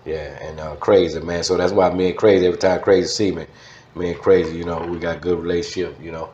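Middle aged southern us guy, low voice, slangy, repeats himself, echoey n kinda muffled. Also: flat delivery, fast, a little mellow, slight background noise. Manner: slangy, repeats short phrases. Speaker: interview guest. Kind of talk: casual reminiscing chat. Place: indoor studio desk. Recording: echoey, dull lo-fi studio sound.

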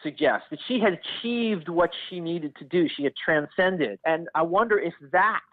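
A man's voice: a little high, moderate pace, dry recording. Man, podcast guest, thoughtful analytical talk, hesitant word repeats, dry close sound, thin lo-fi line.

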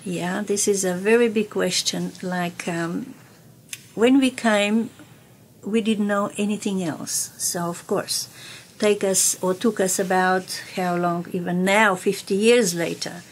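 An older woman with a Slovenian accent, a native Slovenian speaker, reminiscing in an interview in a small radio studio, with halting phrasing and many fillers. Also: mid-range voice, fairly even intonation, slight background noise, poor audio. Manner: slow, halting speech with many fillers and restarts, gestures with hands. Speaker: interviewee, community radio broadcaster.

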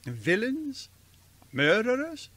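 An actor playing a gentle, shy, earnest German professor with a halting manner and a German accent in a radio drama. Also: male voice, very high voice, huge pitch swings, full character voice. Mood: earnest, apologetic. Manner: hesitant, halting. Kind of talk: old-fashioned radio drama acting.

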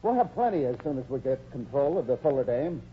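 An actor playing a scheming crooked doctor and gambler, insistent and wheedling, in a 1940s radio comedy. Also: male voice, mid-range voice, big pitch swings, quick pace, lightly acted. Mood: pushy, scheming. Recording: thin, noisy, phone-like old radio recording.

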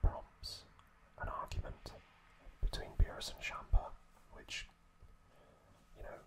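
Slow, whispering man, ASMR style. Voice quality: soft unvoiced whisper. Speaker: ASMR host. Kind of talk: whispered ASMR episode recap.

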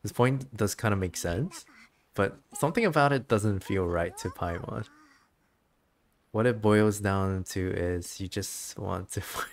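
A man's voice: lowish voice, animated, measured pace, gravelly. A man reading game dialogue as a small, indignant fairy companion. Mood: indignant, sceptical.

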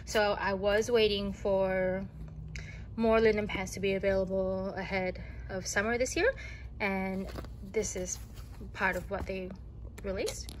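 A woman vlogger in a casual voice-over, showing her clothing purchases, talking slowly with many ums and restarts. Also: medium pitch, steady tone, slight background noise, low-quality recording. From Australia.

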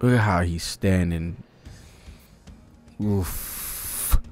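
A man's voice: deep voice, very slow, a little mellow, faint music behind. A young American man giving casual, profane, slang-filled live commentary as a manga reaction host, speaking expressively into a close desktop microphone. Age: young adult. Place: cluttered room at home. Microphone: desktop microphone close in front.

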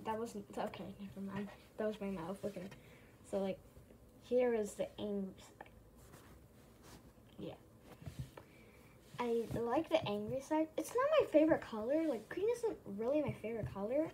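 Young female vlogger, high voice, slow and hesitant, repetitive casual chat, noisy home recording. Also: dark tone. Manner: hesitant, often repeating phrases. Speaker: vlogger showing a plush toy collection.

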